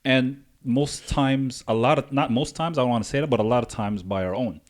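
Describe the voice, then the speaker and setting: A man from Guyana speaks at a middle pitch as a podcast co-host. He talks casually but deliberately, building each point with asides and rhetorical questions. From Guyana, moved north when young. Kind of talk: casual opinion discussion.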